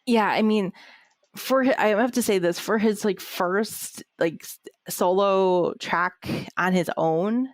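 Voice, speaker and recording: A woman's voice: mid-range voice, takes it slowly, dry recording, clean studio sound. A woman co-hosting a podcast, chatting casually about music with lots of fillers and restarts. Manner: rambling, many fillers and restarts. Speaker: podcast co-host. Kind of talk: casual music review chat.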